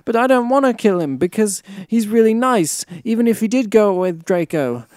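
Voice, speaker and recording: A man's voice: high-pitched, measured pace, no echo, clean studio sound, pitched above the performer's own voice. A man voicing a melodramatic, foul-mouthed teenage goth vampire girl, exclaiming in an overwrought way as in a dramatic story reading. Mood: angry, hysterical.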